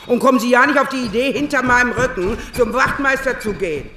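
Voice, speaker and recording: A woman's voice: on the high side, fast, fairly bright tone, close to an everyday voice. An actress playing a domineering, suspicious old woman with an imperious, scornful manner in a radio drama. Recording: echoey, far from mic, music behind.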